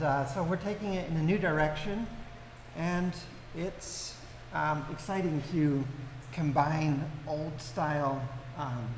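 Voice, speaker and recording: Guy welcoming an audience, kinda halting, higher-pitched voice, big echoey room w/ noise. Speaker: host introducing a live show. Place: large indoor community venue before an audience. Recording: echoey hall, clear background noise.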